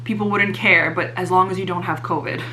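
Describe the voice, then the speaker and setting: A young woman vlogger, slightly hoarse, chatting casually and teasing herself about her purchases. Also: fairly low pitch, fairly even intonation, brisk, sharp tone, slight background noise, poor audio. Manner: chatty, self-mocking, many fillers. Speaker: fashion vlogger. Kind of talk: casual haul chat to camera.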